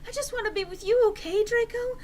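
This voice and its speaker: A woman's voice: very high-pitched, quick pace, a little hiss or hum, pitched above the performer's own voice. A woman voicing a vain, melodramatic teenage goth girl in an overwrought, whiny, exclaiming manner for a story reading. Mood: self-pitying.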